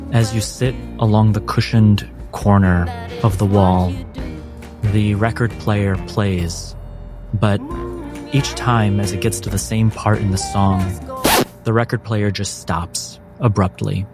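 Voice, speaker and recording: Man, low voice, game master narration, measured storytelling, clear music underneath. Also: fairly even intonation.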